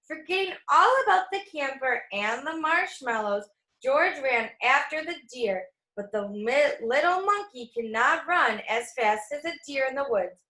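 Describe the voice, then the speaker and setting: A woman reading a children's picture book aloud as a storyteller, expressive and teacherly, with asides to young listeners. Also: high-pitched. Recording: echoey room.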